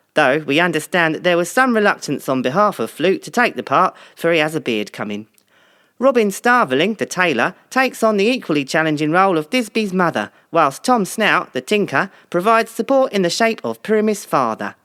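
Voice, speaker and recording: A man playing a grandiose newspaper theatre previewer, reading a scripted piece in a theatrical, deliberate way. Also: fairly high pitch, a bit bright, no room sound, studio quality.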